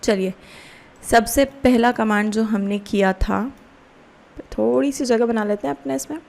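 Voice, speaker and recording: A woman with an Indian accent giving a step-by-step software tutorial as an instructor, explaining casually and often checking in with questions. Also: fairly high pitch, unhurried, creaky.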